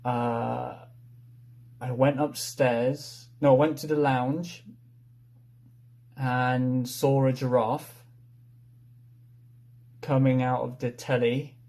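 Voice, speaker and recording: Very slow, mellow-voiced man recalling a story. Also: mid-range voice, level tone, gravelly. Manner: halting, unsure, piecing sentences together slowly. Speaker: participant in a story-retelling game. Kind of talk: retelling a story from memory.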